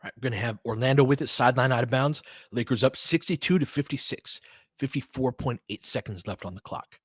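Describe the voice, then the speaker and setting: A man's voice: medium pitch, brisk, husky, no echo. Clipped, partisan male basketball play-by-play caller, dry sound. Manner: clipped, fragmentary play calls; openly partisan, cheering for his team. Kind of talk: live fan-side play-by-play commentary.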